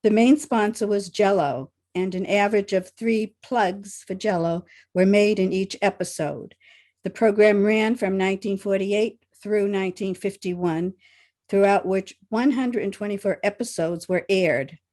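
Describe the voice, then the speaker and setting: A woman with a medium-pitched voice reads a scripted introduction. She announces in a formal, measured way, like a radio show narrator. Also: darkish tone.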